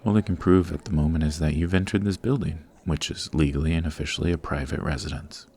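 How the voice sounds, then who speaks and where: A man playing a suave, formal supervillain boss in an ASMR roleplay, patient and exacting, with measured, instructive speech. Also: rumbling low, fairly even intonation, mellow. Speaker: voice actor.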